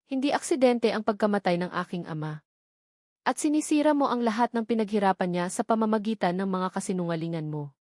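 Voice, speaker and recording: A woman's voice: mid-range voice, lively intonation, brisk, darkish tone, no room sound, studio quality, close to an everyday voice. A woman voicing a proud, indignant female lawyer with a Filipino accent in an audio story. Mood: angry, indignant. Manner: indignant, accusing.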